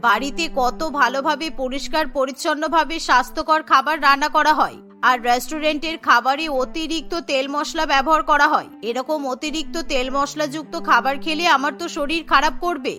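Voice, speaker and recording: A woman plays a stubborn, middle-aged cartoon mother-in-law with a bright, fairly high voice. She speaks firmly and admonishingly over music. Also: fairly even intonation, fairly quick. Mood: stubborn refusal.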